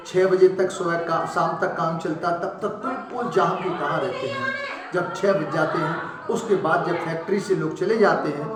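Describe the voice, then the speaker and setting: A male teacher retelling a story in a lecture for school students, animated and full of sudden emphatic rises. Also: sits high, reverberant.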